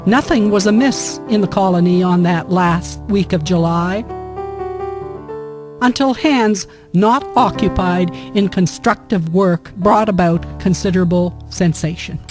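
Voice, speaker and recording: Woman storyteller narrating over prominent guitar music. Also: fairly low pitch. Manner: measured, formal storytelling. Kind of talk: scripted historical narration.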